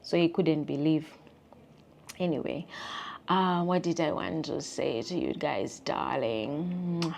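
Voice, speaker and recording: Ranting Ugandan woman, lowish voice. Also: slow, gravelly, slight background noise. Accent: Ugandan English. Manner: animated, ranting, mimics people in high squeaky voices. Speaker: vlogger. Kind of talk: casual chat rant to camera.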